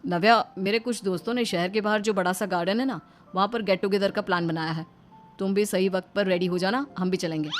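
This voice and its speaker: A woman's voice: mid-range voice, steady tone, a little fast, voice pushed down, lightly acted. A worn-out young cartoon husband played by a woman, grumbling and pleading with weary exasperation. Music plays behind the voice. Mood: exasperated pleading. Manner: complaining, pleading. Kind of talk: cartoon story acting.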